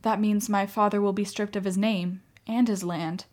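A woman's voice: medium pitch, fairly even intonation, normal speed, a little mellow, close to an everyday voice. A woman acting in a radio play as an anguished, defiant young Armenian mother, pleading with feeling. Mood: resolute, sorrowful. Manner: impassioned, pleading.

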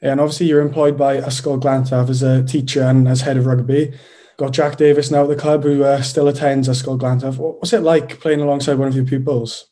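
A man's voice: mid-range voice, flat delivery, fairly quick, mellow. A man hosting a rugby interview show, asking plain, scripted-sounding questions. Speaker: host.

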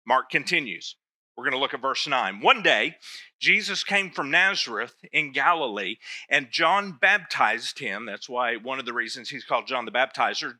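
A man's voice: medium pitch, sharp tone, dry recording. A middle-aged man preaching a sermon to his church, talking in a loose, conversational way with self-deprecating asides. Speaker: pastor.

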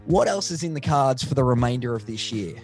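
The matey Aussie bloke you'd hear hosting an online music show, cheering guests along over a light backing track. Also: male voice, medium pitch, lively intonation, brisk, darkish tone. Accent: Australian. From Australia. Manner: matey, affirming. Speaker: interview host. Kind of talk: casual interview.

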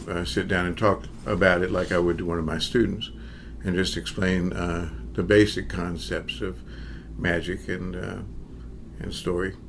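A lowish-voiced man in his sixties tells stories about his career as a magician. His speech is hesitant and reflective, full of pauses and fillers, with occasional chuckles. Faint background noise sits under the conversation. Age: 60s. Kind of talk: relaxed storytelling in an interview.

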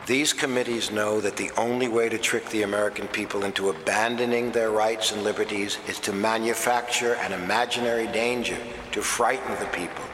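An actor playing a weary, embattled middle-aged writer, measured and somber, in a radio drama. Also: male voice, on the low side, fairly even intonation, fairly bright tone, slight background noise, lightly acted. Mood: grave conviction.

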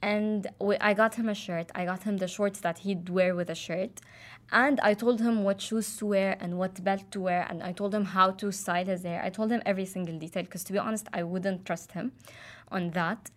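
A woman's voice: medium pitch, fairly even intonation, moderate pace. A young woman from Jordan, casually telling a personal story with many fillers and restarts. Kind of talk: casual chat.

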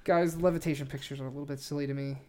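A man's voice: fairly high pitch, fairly even intonation, racing, dark tone. A man hosting a podcast in casual chat, swearing freely and rambling insistently as he repeats his points. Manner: profane. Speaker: podcast host.

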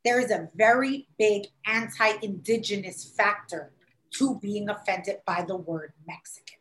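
A woman's voice: mid-range voice, a little slow, a little hiss or hum. A woman from New York City with a Puerto Rican-tinged New York accent, talking casually and acting out quoted voices. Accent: New York Puerto Rican-influenced. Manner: animated, acts out quoted voices. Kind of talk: casual conversation. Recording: echoey room.

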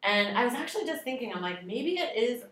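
A woman's voice: fairly high pitch, sing-song, fast, a bit bright, roomy sound. A woman co-hosting a podcast, telling a long self-deprecating story in a casual, rambling way. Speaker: podcast co-host. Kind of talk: casual chat.

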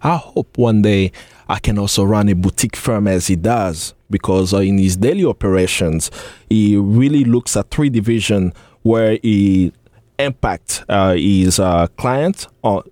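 A man co-hosting a talk show, introducing a guest's background in a halting way with frequent fillers. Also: on the low side, a little mellow, dry recording, studio quality. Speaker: co-host. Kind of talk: reading a guest bio.

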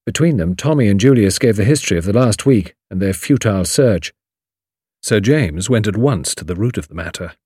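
A man's voice: lowish voice, lively intonation, a little fast, darkish tone, slightly rough, no echo, studio quality. A man with a British accent reading a novel as scripted audiobook narration.

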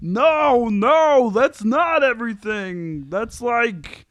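A very high-voiced, whiny grown son from a sitcom acted out by a man. He takes his time, pleading and making defensive excuses with emphasis, in a dry recording. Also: animated, very slow, a bit bright, no room sound, exaggerated voice. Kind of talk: sitcom table read.

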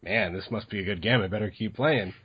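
A man's voice: lowish voice, a little fast, slightly rough, lo-fi sound. A man co-hosting a poker podcast, chatting in a laid-back, casual way. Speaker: podcast co-host, poker player.

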